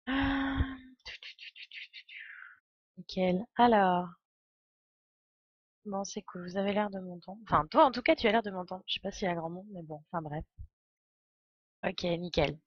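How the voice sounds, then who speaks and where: A young woman hosts a game stream in an informal, hesitant way, her nose stuffed by a cold. The sound is dry and close, slightly dull and lo-fi, with a medium-pitched voice. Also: unhurried, long pauses, breathy edge, dry recording. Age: 20s. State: congested with a cold. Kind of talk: casual live stream chat.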